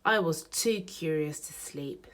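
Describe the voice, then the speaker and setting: A woman reading a children's picture book aloud in an expressive storytelling manner meant for young listeners. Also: sits low, takes it slowly. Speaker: storybook reader.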